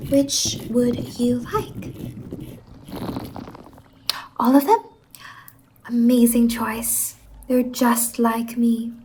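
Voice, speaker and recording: Slow, soft-spoken whimsical ASMR salon stylist played by a woman. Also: high voice, background noise. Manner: gentle, reassuring, drawn-out words. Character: whimsical, reassuring female fever-dream hair salon stylist.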